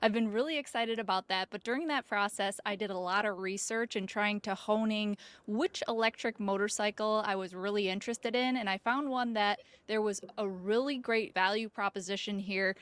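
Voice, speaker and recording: A woman's voice: medium pitch, fairly bright tone, smooth, no room sound. A woman hosting a video channel, introducing a guest and asking interview questions in a presenter's style. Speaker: host, video reviewer.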